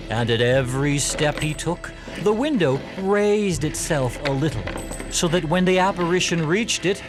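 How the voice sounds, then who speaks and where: A man narrating a classic story for an audio drama in a deliberate, expressive, theatrical way. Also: a little high.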